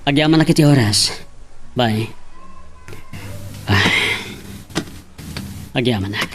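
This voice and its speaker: A man's voice: mid-range voice, slow, music in the background, lightly acted. A man with an Ilocano accent playing a lovestruck young architect, earnest and tender, in a romantic audio drama. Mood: musing, smitten. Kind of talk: Ilocano radio-style romantic drama acting.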